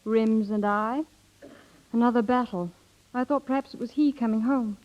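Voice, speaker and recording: An actress playing an unhappy, stubborn young newlywed in a 1930s radio drama. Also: female voice, a little high, fairly even intonation, moderate pace, lightly acted. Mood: despondent. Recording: dull 1930s radio recording.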